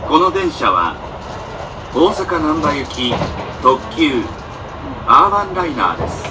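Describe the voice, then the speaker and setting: A man's slow recorded-style announcement comes from a distant speaker in a noisy train. He reads formally, phrase by phrase, with a widely swinging intonation. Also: fairly high pitch, big pitch swings. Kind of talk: scripted automated train announcement. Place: train PA heard inside a moving carriage. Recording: distant onboard speakers, loud train noise.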